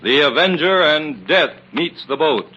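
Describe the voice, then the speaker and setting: A man's voice: a little high, lively intonation, a little slow, highs cut off, over loud music. A man narrating a 1940s radio drama in a dramatic, declamatory manner, on an old radio recording. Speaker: announcer narrator.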